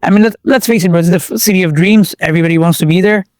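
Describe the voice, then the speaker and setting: Young guy, highish voice, laid back slangy chat, dry close sound. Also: very fast, no echo. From Bangladesh. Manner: relaxed slangy talk full of fillers and restarts. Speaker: guest. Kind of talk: casual chat.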